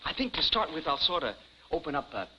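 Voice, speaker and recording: Eager, boyish, sharp-voiced young fellow. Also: male voice, fairly high pitch, big pitch swings, exaggerated voice. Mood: boastful, dreamy. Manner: folksy, boyish, halting. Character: eager, naive, boastful young small-town delivery man and inventor. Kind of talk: 1950s television comedy acting. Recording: dull vintage 1950s TV soundtrack, faint noise.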